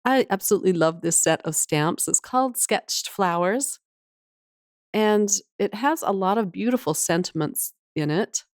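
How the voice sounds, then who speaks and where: A woman's voice: medium pitch, lively intonation, a little slow, no echo, studio quality. Woman with a slight cold, chatty crafter. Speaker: craft channel host. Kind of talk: casual craft tutorial voice-over.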